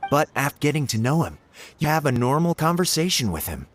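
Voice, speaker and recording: A man playing an excitable, easily huffy but earnest subordinate investigator in a video game. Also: a little high, brisk, slight background noise. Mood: earnest reporting. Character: excitable, huffy male subordinate investigator reporting to his boss.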